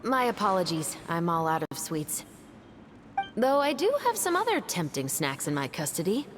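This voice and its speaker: Low-voiced, composed woman detective. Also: very animated, noisy background. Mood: calm, assured. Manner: measured, articulate, analytical. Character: composed, methodical young woman detective investigating a murder. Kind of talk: scripted video game dialogue.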